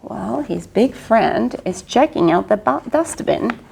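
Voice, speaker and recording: Brief: high-voiced woman doing an unhurried, lively read-aloud for young kids. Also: creaky, husky, low-quality recording. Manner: coaxing, asks the listener questions. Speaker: children's story reader. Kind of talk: interactive read-aloud for children.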